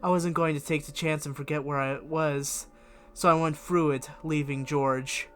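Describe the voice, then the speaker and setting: A man's voice: fairly high pitch, fairly even intonation, normal speed, fairly bright tone, soft background music. A man reading a diary-style horror story aloud as scripted narration, with expression. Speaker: fan fiction reader and video creator.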